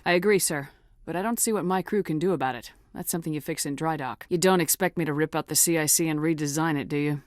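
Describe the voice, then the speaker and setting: A woman's voice: fairly low pitch, no echo, studio quality, lightly acted. A woman playing a steely but compassionate military starship commander in a video game, speaking in a firm, direct and controlled way. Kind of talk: scripted video game dialogue acting.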